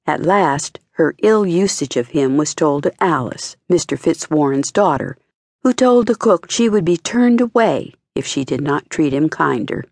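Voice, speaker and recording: A woman reading a children's fairy tale as an expressive audiobook narrator. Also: sits low, measured pace, vocal fry, dry recording, studio quality. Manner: expressive storytelling.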